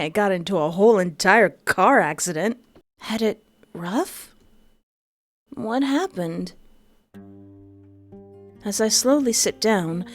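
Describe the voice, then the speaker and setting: A young woman reading a visual novel aloud and breaking in with playful, joking asides. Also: medium pitch. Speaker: game streamer.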